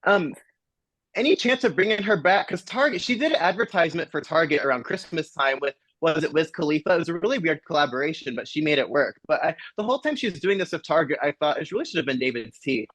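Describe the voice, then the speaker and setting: A man's voice: on the high side, lively intonation, fast talker, a bit bright, no room sound, rough recording. A man hosting a casual talk show, asking rambling, mock-serious questions in a playful way.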